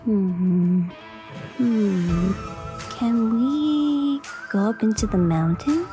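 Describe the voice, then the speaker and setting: A woman's voice: medium pitch, very slow. A woman voicing an eager, curious young schoolgirl in an audio drama. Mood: eager, excited. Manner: eager, childlike. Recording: music under the voice. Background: music.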